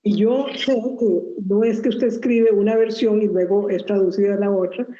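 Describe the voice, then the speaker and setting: A woman interviewing an author at a book presentation, asking measured, thoughtful questions. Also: medium pitch, steady tone, mellow, roomy sound, highs cut off, a little hiss or hum.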